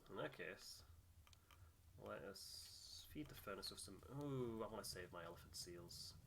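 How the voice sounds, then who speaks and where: A man's voice: lowish voice, lively intonation, unhurried, creaky, noisy background. A man giving casual commentary as he plays a video game, thinking aloud and muttering through his choices. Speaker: gamer playing and commenting on a playthrough.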